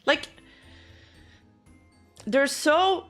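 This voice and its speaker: A woman's voice: high-pitched, extremely slow, fairly bright tone, soft background music. A woman VTuber streamer giving casual reaction commentary, blunt and sarcastic, swearing freely.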